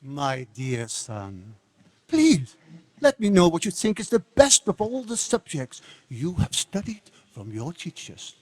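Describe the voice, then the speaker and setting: Man acting as domineering demon king, theatrical, commanding, high voice, wildly varied pitch, long hall reverb. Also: wildly swooping pitch, slow pace, heavily acted. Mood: coaxing. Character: domineering demon king father, sweet turning to furious. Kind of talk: stage dramatic reading.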